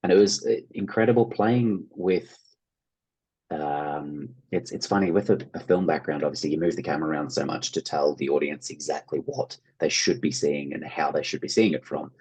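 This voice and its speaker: A man's voice: a bass voice, moderate pace, darkish tone, breathy edge, rough recording. An Australian man speaking casually and enthusiastically as an interview guest, in an informal conversational way. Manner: enthusiastic, informal, acts out imagined reactions. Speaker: interview guest, actor and theatre producer.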